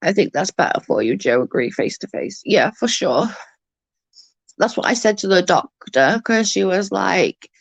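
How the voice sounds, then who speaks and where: Creaky-voiced woman who stutters. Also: mid-range voice, expressive, no echo. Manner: rambling, halting, often restarts and repeats words. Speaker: livestream host reading chat. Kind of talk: casual livestream chat.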